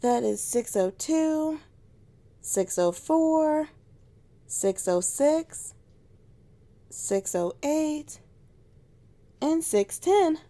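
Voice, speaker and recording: A woman acting as a tsundere alpha she-wolf in a romance audio roleplay. Her voice is high and soft-edged, mellow in tone, and she takes her time. She is bossy and teasing on top, easily flustered underneath. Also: animated, drawn-out words, pauses often, exaggerated voice. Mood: excited, admiring.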